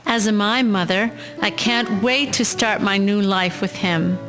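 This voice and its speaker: A woman's voice: medium pitch, unhurried, music in the background, lightly acted. A woman acting an earnest, emotional young wife and mother in a historical audio drama. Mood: hopeful. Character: anxious, pleading young wife and devoted mother, 1910s.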